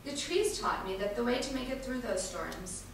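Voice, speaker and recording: A woman giving an earnest, emphatic dramatic reading of a first-person monologue, with deliberate pauses. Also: fairly high pitch, lively intonation, gravelly. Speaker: reader performing an activist's first-person testimony. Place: large indoor event space, distant microphone. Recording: echoey hall from far off, faint noise.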